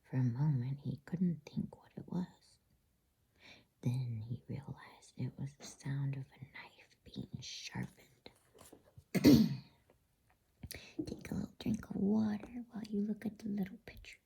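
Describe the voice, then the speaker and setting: A woman whispers a storybook in ASMR style, very breathy and low in pitch. Her delivery is hushed and slow, as a bedtime reading. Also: lively intonation. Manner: soft, unhurried, hushed.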